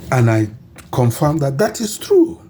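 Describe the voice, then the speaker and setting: A middle-aged Nigerian man, a bishop, preaching and praying fervently in a recorded audio message with emphatic, drawn-out phrases. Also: mid-range voice, wildly swooping pitch, dark tone, breathy edge.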